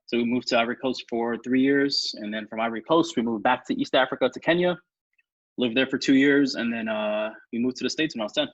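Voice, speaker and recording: A man from Ethiopia, a guest on an interview podcast, talking quickly and casually. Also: medium pitch, a bit bright, no echo, highs cut off. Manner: fast, fluent talker with many fillers and restarts.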